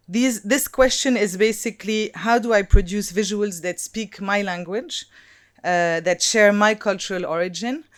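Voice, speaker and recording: Thoughtful woman with a smooth voice. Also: mid-range voice. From Lebanon. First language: Arabic. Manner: thoughtful, halting, many fillers and restarts. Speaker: designer giving a talk. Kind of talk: conference talk.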